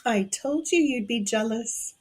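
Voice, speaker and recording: A haughty female villain of audio drama. Her voice sits fairly high and swoops freely, relishing every taunt. She speaks imperiously, with theatrical cruelty. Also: expressive, measured pace, a little mellow. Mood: cruel glee. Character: haughty, gloating female villain, cruel body-borrowing hotel owner. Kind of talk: scripted audio drama acting.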